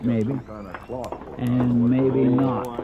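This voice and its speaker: An actor playing an uneasy, deferential marshal's sidekick with an American accent, folksy and hesitant, in a 1950s radio western. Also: male voice, medium pitch, level tone, very slow, noisy background. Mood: uneasy, gloomy. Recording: muffled old radio recording.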